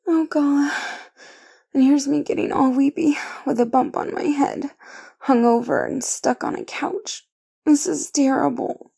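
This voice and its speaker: A woman's voice: high-pitched, fairly even intonation, unhurried, creaky, mellow. A woman acting in an audio drama as a dazed, hungover, melodramatic woman who is plaintive and tender. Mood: weepy. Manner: groggy, plaintive, emotional.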